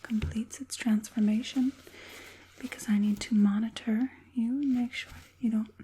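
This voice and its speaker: A woman voicing an evil witch puppet master in a whispered ASMR roleplay, coaxing and falsely caring, slow and deliberate in a breathy hush. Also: fairly high pitch, steady tone, a little hiss or hum, lightly acted. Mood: calm, controlling. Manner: slow, deliberate, soothingly menacing.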